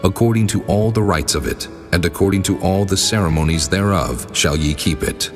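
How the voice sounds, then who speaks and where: Casting: male actor for the Almighty, low solemn voice, commanding and measured, long reverb, scripture audio drama over music. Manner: stately, measured, authoritative pronouncements.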